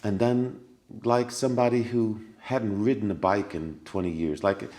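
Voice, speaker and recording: A man with a very low, deep voice shares a personal story as a podcast guest. He talks casually and acts scenes out with little sound effects and bursts of laughter. When he repeats what was said, he sinks into a hushed, quiet tone. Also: steady tone, moderate pace. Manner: drops to a hushed, quiet voice for remembered lines.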